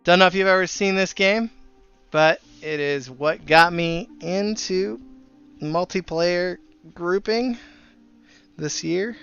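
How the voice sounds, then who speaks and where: A man with a very bright, forward voice talks casually to viewers on a game livestream. He is friendly and chatty, speaking at a middling pitch. The sound is a little dull, with music playing behind him. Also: slow, vocal fry, smooth. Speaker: live streamer.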